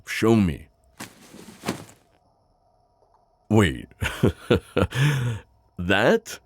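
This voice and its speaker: A man playing a suave, skeptical demon buyer in an ASMR audio roleplay, with a deep voice and a sardonic, halting manner. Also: no echo, full character voice. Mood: amused, incredulous. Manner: flirty, sardonic, hesitant pauses and drawn-out words.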